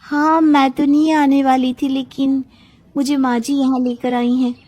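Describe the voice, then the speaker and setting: A woman's voice: high-pitched, level tone, a little slow, mellow, pitched above the performer's own voice. A woman voicing an affectionate, lovelorn young woman in a storybook tale, with a coy, earnest manner. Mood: gentle. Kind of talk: acted storybook dialogue.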